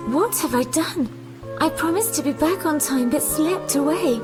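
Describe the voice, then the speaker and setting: Woman voicing gentle young fairy-tale heroine, high-pitched, earnest, expressive, animated storybook, music behind. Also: normal speed, close to an everyday voice. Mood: worried. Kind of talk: children's animated storybook acting.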